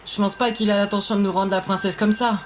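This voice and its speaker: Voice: medium pitch, little pitch movement, quick pace, a little hiss or hum, natural voice. A performer voicing a cautious, worried young male fox in an amateur French game fan dub.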